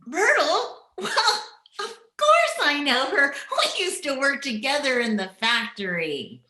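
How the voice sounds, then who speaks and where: A woman's voice: sits high, sing-song, slow, sharp tone, echoey room, exaggerated voice. A woman playing an artless, chatty household maid in an old-time radio comedy. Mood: artless, curious. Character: scatterbrained, chatty, plain-spoken household maid.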